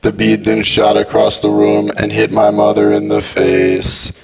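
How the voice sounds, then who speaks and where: A man telling a personal anecdote in a deadpan, scripted-sounding way. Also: on the low side, measured pace, creaky, low-quality recording.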